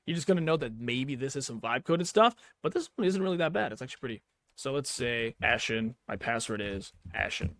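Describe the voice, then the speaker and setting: A man's voice: medium pitch, expressive, a little fast, fairly bright tone, no echo. A man giving casual, unscripted tech commentary over a screen recording, slangy and reacting aloud as he goes. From Pakistani background. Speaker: tech YouTuber, host.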